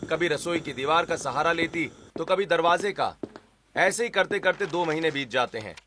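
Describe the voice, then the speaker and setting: Bright, forceful male story narrator. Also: on the high side, moderate pace, a little hiss or hum. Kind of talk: scripted story narration in Hindi.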